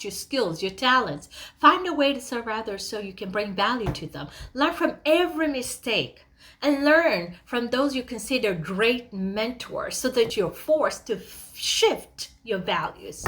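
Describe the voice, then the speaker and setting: A woman's voice: a little high, bright, forceful tone. A woman giving a motivational talk, speaking directly to listeners in an emphatic, conversational way with rhetorical questions. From Kenya.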